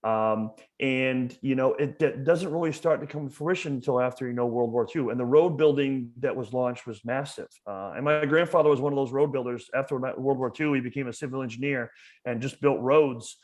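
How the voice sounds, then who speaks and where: A man's voice: mid-range voice, brisk, darkish tone. Passionate, emphatic man from Maine. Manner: passionate and emphatic, repeats words while building a point. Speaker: museum educator, podcast guest. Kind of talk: casual conversational interview.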